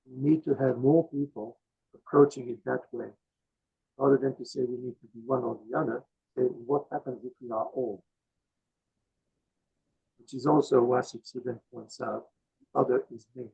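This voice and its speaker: Croaky older man on a dull call. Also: mid-range voice, steady tone, moderate pace, pauses often, dark tone. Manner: thoughtful, hesitant with many fillers. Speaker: guest speaker. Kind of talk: answering audience questions. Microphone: video call. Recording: dull lo-fi video call audio.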